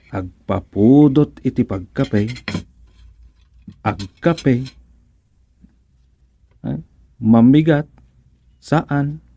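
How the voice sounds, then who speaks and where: Slow, mellow Ilocano everyman. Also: on the low side, gravelly, breathy edge, exaggerated voice. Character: Doming, easygoing village man muttering to himself. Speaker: radio serial character. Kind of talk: Ilocano radio comedy-drama acting.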